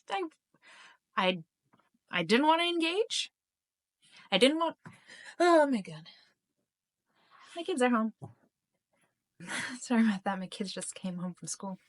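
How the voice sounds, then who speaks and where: A middle-aged woman and host of her own channel, telling a personal story to camera, emotional and halting, with frequent restarts. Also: sits high, a bit bright. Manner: emotional, halting, many restarts and self-interruptions. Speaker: spiritual YouTube channel host.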